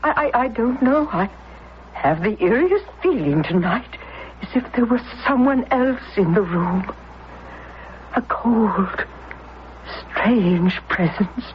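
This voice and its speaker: A woman's voice: a little high, animated, slow. A woman playing an ailing, demanding old mother, fussy, plaintive and smothering, in a radio drama. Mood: fearful pleading. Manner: cantankerous, fussing, plaintive. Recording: dull lo-fi radio recording, faint noise.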